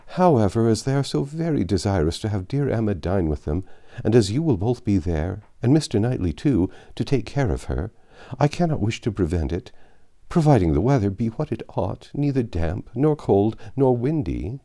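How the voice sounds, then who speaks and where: A man reading a storybook, voicing a gentle, fretful elderly father who fusses anxiously and plaintively. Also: fairly low pitch, big pitch swings, moderate pace, pitched above the performer's own voice. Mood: fretful, resigned. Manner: fussy, plaintive. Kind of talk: audiobook character voice.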